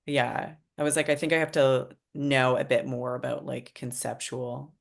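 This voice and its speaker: A woman screenwriter chatting casually and reflectively, finding her words in halting bursts. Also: a bass voice, level tone, creaky.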